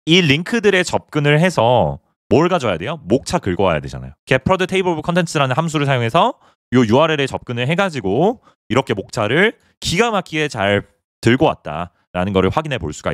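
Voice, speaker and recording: A man's voice: mid-range voice, no echo, studio quality. A man hosting a coding tutorial, walking through code on screen and answering his own questions as he explains. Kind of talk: screen-recorded coding walkthrough.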